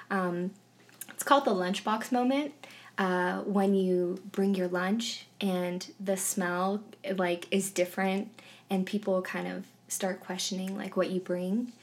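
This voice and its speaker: A young woman tells a personal story in a relaxed group conversation. She speaks with a mid-range voice and stops often to restart her sentences. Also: medium pitch. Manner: hesitant, many fillers and restarts. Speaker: guest.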